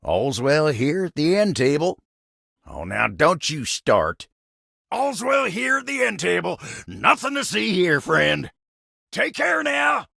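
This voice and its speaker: Gravelly, slow-drawling Southern cowboy game character. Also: male voice, high voice, huge pitch swings, sharp tone, dry recording, studio quality, exaggerated voice. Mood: friendly, reassuring. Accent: American Southern drawl. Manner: folksy, easygoing drawl with frequent chuckles. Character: folksy, humble, hardworking cowboy coffee table, genial older-sounding male. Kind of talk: video game character dialogue.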